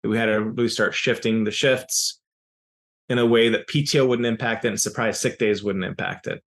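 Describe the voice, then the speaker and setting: A man in his forties whose first language is Serbian, answering interview questions in an explanatory way, often pausing on "uh" and restarting phrases. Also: medium pitch, a bit bright. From raised in Yugoslavia. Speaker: business founder as guest.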